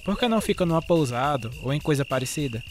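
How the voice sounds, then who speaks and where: An earnest, devout young man played by an actor in a radio drama, a caring older brother. His voice sits a little high, with faint ambient sound behind it. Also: lively intonation, fast, a little hiss or hum, lightly acted. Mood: concerned, firm.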